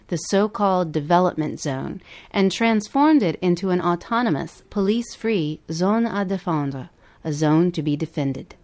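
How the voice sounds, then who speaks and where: A woman podcast host reading a scripted narration. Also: on the low side.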